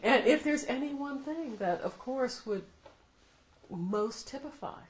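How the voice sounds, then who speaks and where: A middle-aged American woman, a law professor, answering interview questions in a thoughtful, measured way. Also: high-pitched, expressive, crowd noise. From United States. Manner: thoughtful, measured, laughs often. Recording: roomy echo, faint noise.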